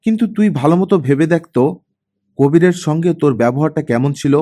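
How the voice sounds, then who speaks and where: A man's voice: fairly high pitch, lively intonation, a little fast, dark tone, close to an everyday voice. A man voicing a worried, reproachful middle-aged mother with a cold, probing, scolding manner in an audiobook story.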